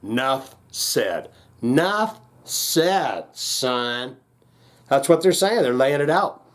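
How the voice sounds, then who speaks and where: A man's voice: mid-range voice, big pitch swings, extremely slow. A man hosting a casual music reaction, thinking aloud in a rambling, jokey, slangy way.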